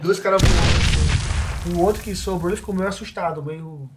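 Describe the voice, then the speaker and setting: A man's voice: high voice, moderate pace, loud music behind the voice. A Brazilian man narrating a tabletop role-playing game as game master, recorded at an in-person session. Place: indoors around a table with other players. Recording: clearly noisy in-person group session.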